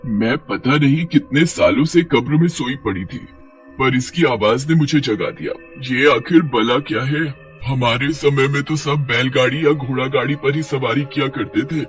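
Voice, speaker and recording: A man voicing a willful, excitable female witch risen from the grave in a horror storybook tale. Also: medium pitch, moderate pace, soft background music, lightly acted. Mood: curious, covetous. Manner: self-absorbed, excitable.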